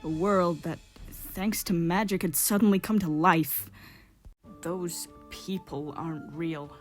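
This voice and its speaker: A woman playing a melancholy exiled male court painter who tells his story in a measured, wistful way, in a fan-dubbed drama. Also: lowish voice, steady tone. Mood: wistful storytelling. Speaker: voice actor in a fan dub. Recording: music behind the voice. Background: instrumental music.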